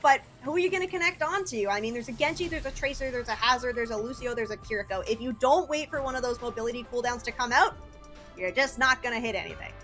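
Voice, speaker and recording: A woman's voice: on the high side, fairly bright tone. A woman giving analytical esports commentary in a conversational, explanatory way.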